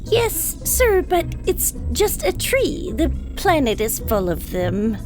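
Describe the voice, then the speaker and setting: Woman as a high-pitched, meek space crew member. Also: huge pitch swings, slow, music in the background, exaggerated voice. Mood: defensive, sheepish. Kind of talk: scripted audio drama acting.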